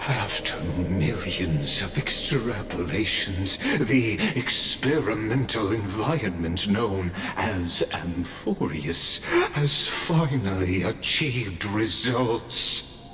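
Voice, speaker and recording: Very slow, deep, grandiose villain. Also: male voice, animated, music in the background. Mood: smugly grandiose. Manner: pompous, deliberate, halting for effect. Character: cold, grandiose all-observing villainous mastermind. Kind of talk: dramatic video game villain acting.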